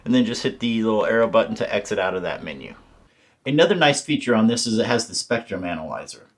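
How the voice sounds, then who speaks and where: A man's voice: on the low side, expressive, quick pace. A man giving a casual hands-on product tutorial, explaining things informally step by step as a reviewer. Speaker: radio reviewer.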